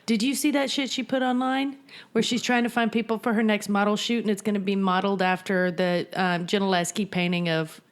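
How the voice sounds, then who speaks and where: A woman's voice: mid-range voice, steady tone. A woman co-hosting a podcast in casual chat, gossipy and chatty. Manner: chatty, gossipy, quick to laugh along. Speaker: podcast co-host.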